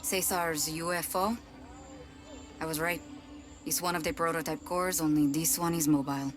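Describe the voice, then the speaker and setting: A woman's voice: low-pitched, steady tone, normal speed, lightly acted. An actress playing a capable, wry female scientist in a video game, speaking with an inflection. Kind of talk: video game cutscene acting. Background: game music.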